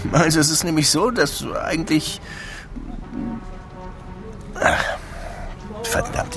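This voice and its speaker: Very deep, brooding detective. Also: male voice, creaky, heavily acted. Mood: embarrassed. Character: brooding, world-weary male police detective in Havana. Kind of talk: radio drama dialogue and first-person narration.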